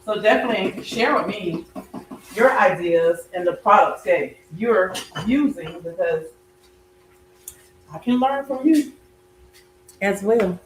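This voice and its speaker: A middle-aged woman chatting casually as she cooks, her voice slightly raspy as it recovers. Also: mid-range voice, expressive, slow pace, long pauses, reverberant, a little hiss or hum, poor audio. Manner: chatty, warm greetings and blessings to viewers. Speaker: health coach and vlogger. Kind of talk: casual cooking vlog chat. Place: home kitchen.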